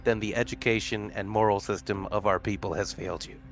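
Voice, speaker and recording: A man's voice: lowish voice, fairly even intonation, a little fast. A man playing a tabletop game, trading casual in-character banter with a wry manner. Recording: music playing underneath. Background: music.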